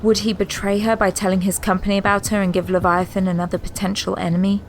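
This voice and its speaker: A woman's voice: mid-range voice, flat delivery, fast, slight background noise, poor audio. A woman narrator reading scripted dramatic narration for an audio drama.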